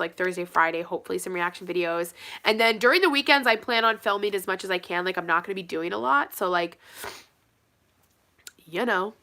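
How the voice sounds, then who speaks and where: A woman's voice: lowish voice, pushed and bright. A woman in her thirties chatting casually as she reads comments aloud, her voice a little nasal from a cold. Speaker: cheer YouTuber answering live chat. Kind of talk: casual chat.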